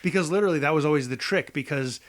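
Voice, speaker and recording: Higher-pitched man in halting casual banter. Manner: halting, self-interrupting explanations. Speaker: podcast co-host.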